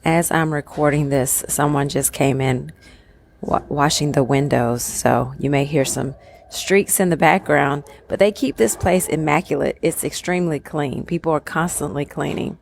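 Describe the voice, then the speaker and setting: A woman with a lowish voice hosts her own podcast, her voice a touch raspy from the early hour. She talks in an upbeat, conversational way, recorded from a room at a resort. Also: moderate pace, slight background noise. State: slightly raspy from early morning. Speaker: podcast host. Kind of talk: solo podcast monologue.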